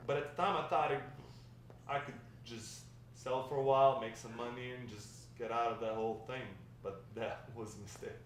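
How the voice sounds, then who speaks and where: A man playing a grandfather who fondly recounts his wild, lovestruck youth in a halting table read. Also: medium pitch, fairly even intonation, lightly acted. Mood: confiding. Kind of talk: table read of a dramatic script. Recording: echoey room, distant mic, faint noise.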